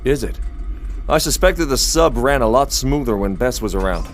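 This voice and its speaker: An actor playing a world-weary detective in an audio drama, dry, deadpan and sardonic. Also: male voice, mid-range voice, normal speed, faint music behind, natural voice. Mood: pointed, accusing. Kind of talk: full-cast audio drama acting with noir narration.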